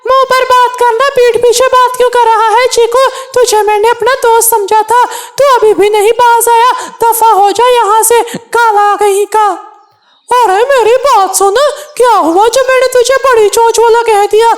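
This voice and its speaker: A woman's voice: extremely high pitch, steady tone, moderate pace. A woman voicing a touchy, quarrelsome male bird in a children's cartoon, with a huffy, argumentative way of talking. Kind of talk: Hindi children's cartoon dialogue.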